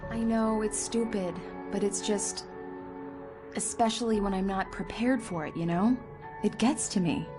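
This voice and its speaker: A tender, playful young wife, played by an actress in a video game scene. Her voice sits at an average pitch for a woman, intimate and a little vulnerable, over clear background music. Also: unhurried, close to an everyday voice. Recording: music behind the voice.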